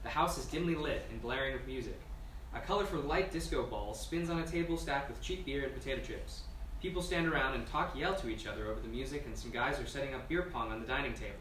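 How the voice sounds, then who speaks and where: A man's voice: medium pitch, fast, fairly bright tone. A man reading scene directions as scripted narration at a screenplay table read. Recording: echoey room, distant mic, noisy.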